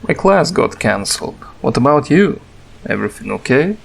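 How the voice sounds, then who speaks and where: An easygoing teenage band boy, played by a man in a comic dub. Mid-pitched and creaky, with lively intonation and a casual, teasing manner. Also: moderate pace, full character voice. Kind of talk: comic fandub voice acting.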